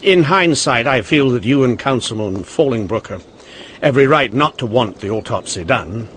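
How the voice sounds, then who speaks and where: Animated police inspector played by an actor. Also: male voice, mid-range voice, moderate pace, slight background noise, close to an everyday voice. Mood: polite, measured. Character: earnest, inquisitive Victorian police inspector, man. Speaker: radio drama actor. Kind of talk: old-time radio mystery acting.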